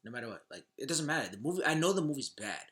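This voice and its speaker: Male podcast guest, forceful bright voice at an average pitch, conversational, repeats himself for emphasis. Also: sing-song, rapid-fire. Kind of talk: casual podcast chat about video game movies.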